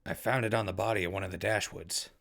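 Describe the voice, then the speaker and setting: A man playing an easygoing, friendly ghoul radio broadcaster in a post-apocalyptic audio drama, husky and gravelly, talking in a casual way. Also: deep voice, fairly even intonation, fast talker, a bit bright. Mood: surprised, teasing. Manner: casual, conversational.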